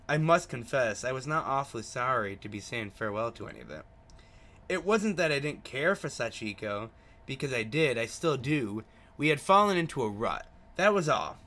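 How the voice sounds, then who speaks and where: A man's voice: medium pitch, a bit bright. A man reading a visual novel aloud as a gaming video host, stumbling over words and adding his own asides. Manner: stumbles over written words, rereads lines.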